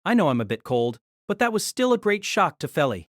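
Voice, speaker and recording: Animated female story narrator, dry sound. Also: low-pitched, a little fast, dry recording. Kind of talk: scripted dramatized story narration.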